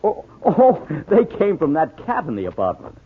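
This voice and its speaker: A man's voice: on the high side, huge pitch swings, moderate pace. A man playing a flustered, polite husband and amateur detective who stammers evasively, in 1940s radio acting. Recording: muffled, narrow-band 1940s radio recording.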